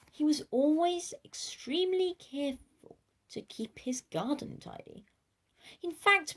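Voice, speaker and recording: Woman doing a kids storybook read, playful n theatrical, chats right at the listener. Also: fairly high pitch. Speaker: storyteller reading a children's book. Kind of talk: animated children's storybook narration.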